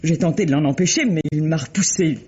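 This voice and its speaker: A remorseful wife in an old radio play, pleading earnestly. Her voice is mellow and husky, and the recording is dull and dated. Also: sits low, fast talker. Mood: urgent confession. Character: remorseful wife confessing a long-hidden secret to her trusting husband. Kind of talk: vintage French radio drama acting.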